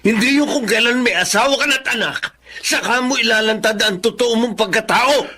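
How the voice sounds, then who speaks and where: A man's voice: very high-pitched, moderate pace, bright, forceful tone, full character voice. A man playing a stern, disapproving older father with a Filipino accent, scolding and moralizing in a melodrama. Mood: stern, disappointed.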